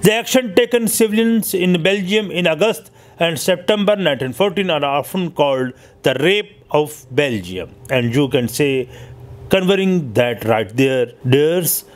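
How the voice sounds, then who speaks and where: Halting man narrating history. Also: fairly high pitch, expressive. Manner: halting, stumbling delivery. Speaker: host and narrator of a history story channel.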